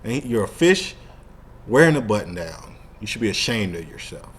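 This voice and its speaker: A man's voice: lowish voice, very animated, moderate pace, husky. A man hosting a casual fan discussion, talking in a slangy, rambling, sometimes profane way. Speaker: show host.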